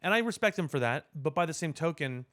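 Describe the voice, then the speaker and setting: A man co-hosting a podcast, talking casually about pop culture in wordy, measured phrasing. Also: on the high side, expressive, fairly bright tone. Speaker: podcast co-host.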